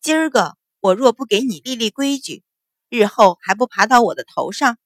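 A woman's voice: fairly high pitch, expressive, moderate pace, no echo, studio quality. A woman voicing a cold, wrathful matriarch of a great household, commanding and sneering, in a storybook drama.

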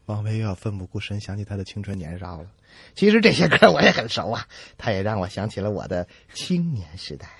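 A man co-hosting a comedy radio show with a husky voice and playful scripted banter. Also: on the low side, sing-song, quick pace, dry recording.

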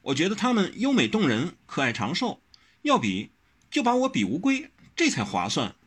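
A man's voice: sits high, wildly swooping pitch, normal speed, a bit bright, husky, voice pushed up, heavily acted. A man voicing a headstrong, pouty young swordswoman in an audiobook, whiny and insistent. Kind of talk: audiobook character reading.

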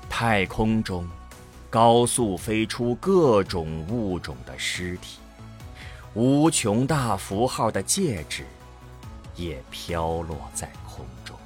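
A man with a low voice narrates a science fiction audio drama. His delivery is slow and solemn, with pauses set for effect. The pitch swings widely from phrase to phrase, giving the storytelling a theatrical sweep. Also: very animated, faint music behind.

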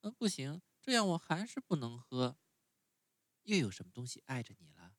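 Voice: mid-range voice, very animated, moderate pace, dry recording, voice pushed up, close to an everyday voice. A storyteller voicing a stubborn, whining wooden puppet boy who is sick in bed. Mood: whiny. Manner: whining, pleading, wailing.

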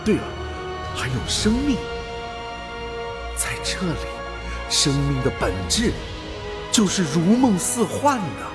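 A man's voice: sits high, big pitch swings, takes it slowly, long pauses, over loud music, exaggerated voice. A man playing a dutiful, awestruck space explorer in a radio drama, with a reflective, emotive delivery. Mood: awed. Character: dutiful male human explorer, transformed, torn and awestruck. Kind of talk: dramatic radio play acting.

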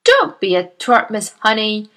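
A woman voicing a huge, bullying female headmistress who barks and shouts with scorn. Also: medium pitch, wildly swooping pitch, measured pace. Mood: bellowing scorn.

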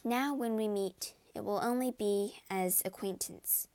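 A woman voicing a gentle, sweet-natured young woman who speaks softly and earnestly, pitched above her own voice. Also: a little slow, natural voice. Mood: calm.

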